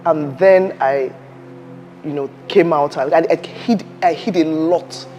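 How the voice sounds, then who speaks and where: A woman's voice: deep voice, unhurried, faint music behind. A woman guest telling a story in an interview, acting out the voices of others and laughing as she goes. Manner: animated, acts out quoted voices, laughs while telling.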